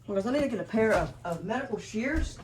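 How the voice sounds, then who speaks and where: Woman thinking aloud over clear background noise. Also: on the high side, animated, fast, darkish tone. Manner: muttering to self, thinking aloud. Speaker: host of gadget unboxing video. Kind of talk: casual unscripted unboxing vlog. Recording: noisy recording with clicks.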